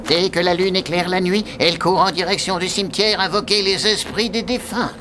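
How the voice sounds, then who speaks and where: A man's voice: sits high, steady tone, fairly quick, noisy background, close to an everyday voice. A man playing a scheming, spiteful cartoon archbishop in a pompous, theatrical and mocking manner. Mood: insinuating. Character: scheming, sneering, sycophantic archbishop, spiteful villain. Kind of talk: animated fairy-tale dubbing in French. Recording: music behind the voice.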